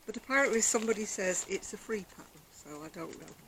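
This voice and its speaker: An older woman vlogging about her crochet, chatting casually on an outdoor deck in a quiet, soft-spoken, rambling way. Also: medium pitch, lively intonation, fast, a little hiss or hum, rough recording. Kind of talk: casual chat to camera. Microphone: external camera microphone.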